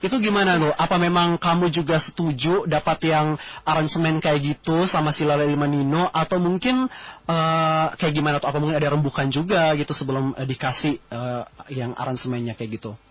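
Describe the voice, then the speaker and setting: A man interviews a guest for radio in a casual, chatty style. His voice sits fairly high and he speaks colloquially, with gushing interjections like a fan. The audio is dull and lo-fi. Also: fairly even intonation, a little fast, dry recording.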